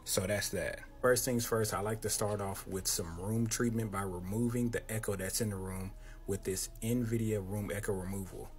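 A man in his thirties with a deep, boxy voice, talking close to a handheld mic as he casually walks through his audio setup in a small home room. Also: fairly even intonation, normal speed, faint music behind. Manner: laid-back, slangy, talks close to the mic. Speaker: content creator explaining his audio setup. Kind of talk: casual tutorial. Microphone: handheld close-up microphone.

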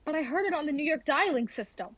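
A woman's voice: high-pitched, lively intonation, fast talker, dry recording, highs cut off. A woman playing a fretful, imperious bedridden wife in an old-style radio thriller. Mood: insistent, demanding. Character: frantic, demanding bedridden wife, easily alarmed.

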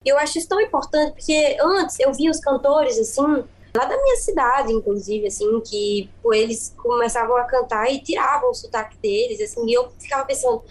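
A woman's voice: high-pitched, big pitch swings, fairly quick, hardly any pauses, low-quality recording. A young woman with a Paraíba northeastern Brazilian accent, a singer talking earnestly in a radio podcast interview, often repeating words for emphasis. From Northeast Brazil (Bahia-born, raised in Paraíba). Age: young adult. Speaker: singer-songwriter guest.

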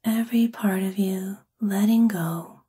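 A woman with a soft, breathy, whispery voice gently and soothingly guides a sleep meditation in an ASMR-like style. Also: medium pitch. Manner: gentle, soothing, unhurried phrasing.

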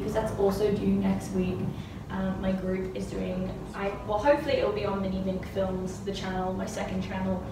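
A young woman chats wryly and informally to her camera at home. She sounds distant from the mic in a very echoey room, with loud noise around her mid-pitched voice. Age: young adult. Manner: wry, self-answering, informal. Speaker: vlogger, university student. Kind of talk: casual chat to camera. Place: indoor room at home while ironing. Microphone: camera a few feet away.

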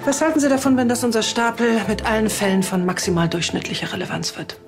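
An actress playing a bossy, sarcastic police chief, pointed and condescending, in a TV crime comedy. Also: female voice, on the high side, expressive, fast, over music. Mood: mock-patient, leading. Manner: pointed, condescending, mock-patient.

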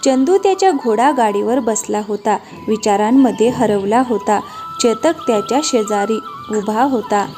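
Higher-pitched woman narrating a cartoon story. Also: moderate pace. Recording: music behind the voice.

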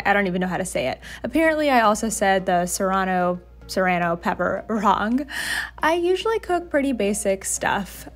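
A young woman narrating a home cooking video in a chatty, self-deprecating way, over light background music. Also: on the high side. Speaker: cooking vlogger. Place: home kitchen.

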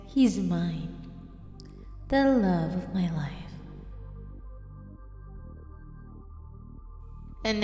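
Woman acting a slow, echoey obsessive schoolgirl. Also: lowish voice, darkish tone. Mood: possessive, menacing. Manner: slow, breathy, often dropping into whispers. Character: obsessive, possessive teenage schoolgirl, lonely and lovestruck. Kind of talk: ASMR roleplay monologue. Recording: echoey, long reverb, music behind.

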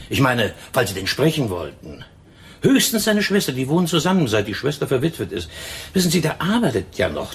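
Gossipy shopkeeper, swooping creaky voice. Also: male voice, mid-range voice, a little hiss or hum. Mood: chatty, recalling. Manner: talkative, emphatic, drawn-out storytelling. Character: chatty, gossipy male tobacco shopkeeper. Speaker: tobacco shop owner in a radio play. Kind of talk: German radio crime drama acting.

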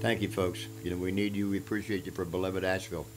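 A man, talking casually and unhurriedly in short folksy fragments as he pitches something for auction. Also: flat delivery, quick pace, rough recording. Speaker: musician presenting a guitar for a charity auction. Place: small indoor room at home. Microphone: microphone on a stand at guitar height. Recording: acoustic guitar playing around the voice. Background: acoustic guitar.

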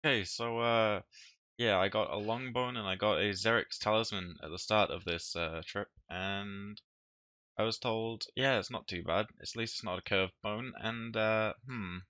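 A man's voice: fairly low pitch, moderate pace, pauses often, bright, forceful tone, no room sound, dull audio. A man who is a little ill, giving casual, rambling commentary over his gameplay, swearing now and then. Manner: rambling, offhand, with frequent restarts and swearing. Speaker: game video commentator.

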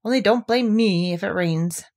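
A woman's voice: medium pitch, normal speed, darkish tone, close to an everyday voice. A woman voicing a gloomy, resigned male donkey in a storybook, grumbling with glum sarcasm.

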